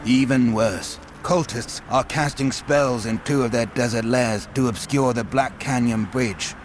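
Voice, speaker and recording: A man's voice: medium pitch, unhurried, lightly acted. An actor voicing a male captain giving an urgent report, in game audio with music behind.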